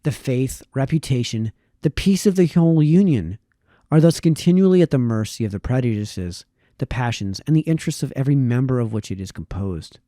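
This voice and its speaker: A man reading a formal historical text aloud in a measured way, now and then stumbling over a word. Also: mid-range voice, animated, mellow, dry recording, studio quality. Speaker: reader.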